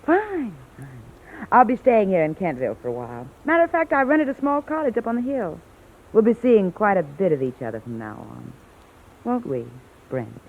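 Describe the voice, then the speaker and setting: Brief: scheming female blackmailer, insinuating, lively pitch swings, old radio play through a tinny band. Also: moderate pace. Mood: smug, demanding. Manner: taunting, insinuating. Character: cool, mocking female blackmailer, greedy and controlling. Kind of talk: 1940s-50s radio drama acting.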